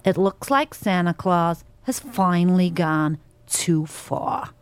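A woman voicing an angry feminist female reindeer with an American accent, in a deliberate, emphatic stage monologue. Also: fairly low pitch, expressive, smooth, no echo. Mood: indignant. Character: angry feminist female reindeer making accusations about Santa.

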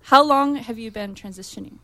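An upbeat female radio host introduces guests and gives short prompts, laughing easily. Her voice is fairly high, and the sound is dry and close. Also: a little fast, a bit bright, dry recording. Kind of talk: radio talk show hosting.

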